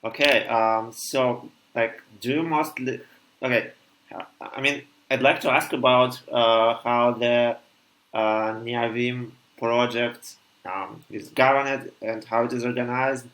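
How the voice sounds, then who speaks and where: A man's voice: medium pitch, fairly even intonation, slow, a bit bright, poor audio. Guy hosting a video call interview, mid voice, stumbles over his questions. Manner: hesitant, loses track of questions. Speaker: talk show host.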